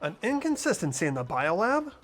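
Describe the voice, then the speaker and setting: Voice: medium pitch, big pitch swings, brisk, faint music behind. Someone voicing an earnest young detective student. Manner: earnest, reasoning aloud. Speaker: main protagonist. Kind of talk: fan dub of a video game.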